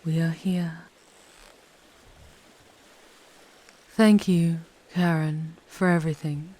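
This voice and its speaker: A woman playing a world-weary demon queen in a scripted ASMR roleplay, speaking softly and formally, often slipping into breathy whispers. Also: deep voice, steady tone. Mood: calm, solemn. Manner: soft, breathy, slow and deliberate, often dropping to whispers; formal, archaic theatrical diction. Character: regal, world-weary demon queen guiding a lost wanderer.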